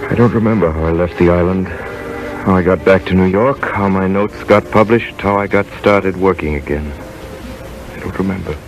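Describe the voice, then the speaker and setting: Male, very low voice as a grave, tender doctor in vintage radio drama, music behind. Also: moderate pace, poor audio. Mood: grieving, numb. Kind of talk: 1930s American radio drama acting, dialogue and first-person narration.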